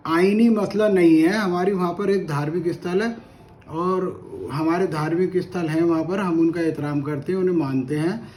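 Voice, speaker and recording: A man's voice: high-pitched, steady tone, moderate pace, a little mellow. A man from India, giving spoken opinion commentary in an earnest, argumentative way and repeating phrases for emphasis. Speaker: commentator on current affairs.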